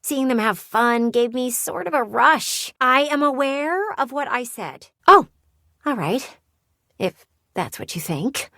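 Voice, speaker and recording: An actress voicing a playful, sardonic living window in a video game, with a teasing, theatrical and blunt delivery. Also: female voice, high-pitched, very animated, pushed and bright, no room sound, clean studio sound, exaggerated voice. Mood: wistful, curious. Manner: teasing, theatrical, blunt, sighs and drawn-out words. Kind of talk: video game character voice acting.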